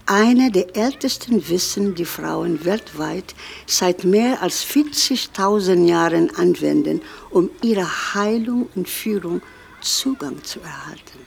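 Woman with a husky, gravelly voice reads her own poem slowly and meditatively; lower register. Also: darkish tone. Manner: slow, meditative reading. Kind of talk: reading her own autobiographical poem and text.

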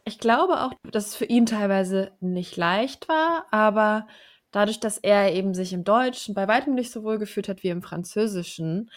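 A woman in her thirties co-hosts a podcast and tells a personal story about raising her child. She talks in a chatty, colloquial way and laughs now and then between phrases. Her voice sits at a middle pitch for a woman. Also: expressive, normal speed. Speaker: podcast co-host, mother.

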